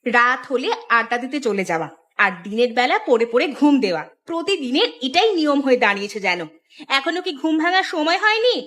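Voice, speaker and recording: A woman voicing a nagging village housewife and mother, scolding and sharp-tongued, in an audio story. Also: fairly high pitch, animated, brisk.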